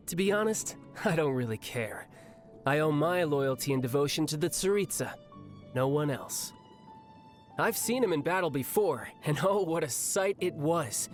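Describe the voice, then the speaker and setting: A man's voice: a little high, close to an everyday voice. A man voicing a cheerful, battle-hungry young warrior in a video game, breezy, confident and theatrical with a playfully menacing edge. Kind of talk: scripted video game character voice lines.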